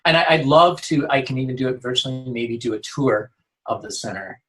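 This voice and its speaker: A man's voice: mid-range voice, brisk, fairly bright tone, rough recording. A man speaking earnestly in a casual interview as a youth outreach worker, often restarting his sentences. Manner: earnest, full of restarts and "you know".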